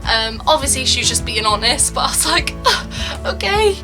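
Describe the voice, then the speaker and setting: A chatty young woman talks straight to camera in a slightly high voice, filling her talk with "like" and "um". Now and then she acts out what others said. Music plays clearly behind her. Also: lively intonation, normal speed. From Wales. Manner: chatty, frequent "like" and "um", acts out quotes. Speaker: vlogger. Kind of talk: casual chat to camera.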